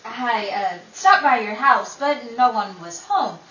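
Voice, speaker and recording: Woman acting a bright, flirtatious former cheerleader. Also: on the high side, expressive, slow. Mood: coy. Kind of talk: old-time radio comedy-drama acting. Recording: dull, echoey, distant, faintly noisy.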